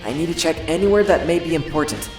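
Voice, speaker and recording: A man voicing an earnest, anguished high-school boy in an introspective, dramatic anime fandub. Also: lively intonation, quick pace, reverberant, soft background music, lightly acted. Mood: somber, determined.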